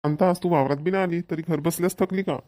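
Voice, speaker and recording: A man's voice: high voice, fairly even intonation, fast talker, warm tone, dry recording, lightly acted. A man playing an affectionate older farmer and grandfather in a cartoon, with a rural Maharashtrian accent. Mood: affectionate, weary. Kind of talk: cartoon family dialogue.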